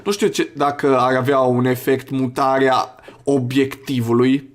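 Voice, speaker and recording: A man's voice: medium pitch, fairly even intonation. A man hosting a gaming video, chatting casually in a crude, profane, rambling way. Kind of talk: casual let's play commentary.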